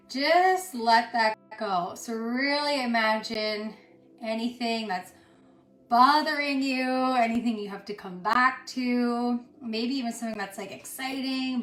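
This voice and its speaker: A woman with a somewhat high voice guides a yoga and meditation class. She soothes as she goes, speaking in short phrases with pauses between them. The room adds a clear echo, and music plays underneath. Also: fairly bright tone. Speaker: yoga instructor.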